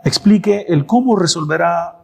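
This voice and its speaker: Man, thirties, pastor preaching in a church, fairly high voice, rhetorical questions with firm answers. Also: moderate pace, gravelly, slightly rough. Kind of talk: sermon.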